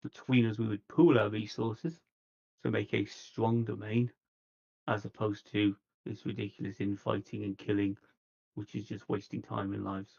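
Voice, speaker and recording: Man as earnest vampire envoy in tabletop play: creaky mid-pitched voice, measured and persuasive, dull lo-fi livestream sound. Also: level tone, a little mellow. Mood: conciliatory. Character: earnest, diplomatic vampire envoy seeking a truce. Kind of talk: in-character tabletop roleplay dialogue.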